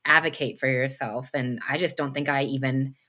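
Woman host, parent, reflective, many fillers, very low pitch, bright edge. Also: steady tone, normal speed. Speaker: host, parent of a child who stutters. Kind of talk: conversational interview.

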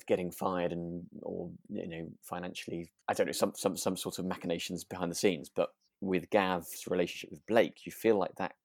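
Male podcast co-host, deep register, dry recording, casual and thoughtful, feeling his way through sentences. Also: flat delivery, normal speed, hardly any pauses, no room sound. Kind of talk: casual podcast chat about a radio drama.

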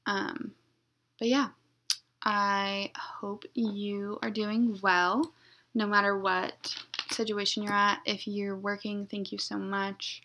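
A young woman hosting her own podcast, talking in a flat, monotone, rambling way and being blunt. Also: mid-range voice, takes it slowly. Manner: blunt, unfiltered. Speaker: podcast host. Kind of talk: casual solo podcast intro.

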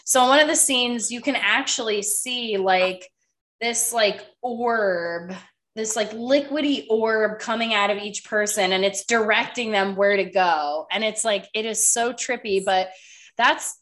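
A woman's voice: mid-range voice, unhurried, a bit bright. A woman hosting a podcast in casual chat, a bit congested and nasal with a slight cough, who talks loosely and swears often. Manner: chatty, swears casually, lots of "like". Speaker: podcast host.

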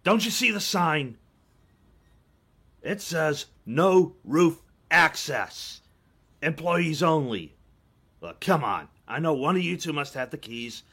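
A man playing a stern, exasperated janitor in an audio roleplay, scolding and demanding with a rough-edged voice. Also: on the high side, sing-song, slow, fairly bright tone, full character voice. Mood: suspicious, annoyed. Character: stern, exasperated male building employee guarding his keys.

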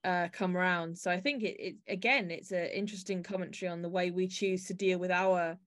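A woman's voice: on the low side, steady tone, a little fast. A woman hosting a podcast, talking through a piece in a conversational discussion style. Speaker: podcast host.

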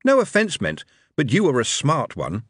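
Wry, blunt young man, read by a male narrator; animated delivery, dry sound. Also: medium pitch, moderate pace, breathy edge, dry recording, voice pushed up. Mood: candid.

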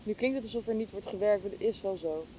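Hesitant woman, mellow voice. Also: fast talker, low-quality recording. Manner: hesitant, thinking aloud. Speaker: interview guest, podcast hostess. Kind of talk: casual, reflective conversation. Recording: clear low rumbling background noise.

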